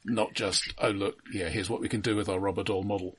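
A middle-aged man co-hosting a film podcast, speaking in a measured, considered way with stammered word repetitions. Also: on the low side, fairly even intonation, fairly bright tone, a little hiss or hum. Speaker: podcast co-host. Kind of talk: casual film discussion.

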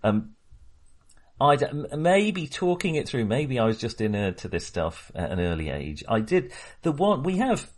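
A man co-hosting a film podcast in casual discussion, talking haltingly and often correcting himself. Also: medium pitch, very animated. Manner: hesitant, halting, self-correcting.

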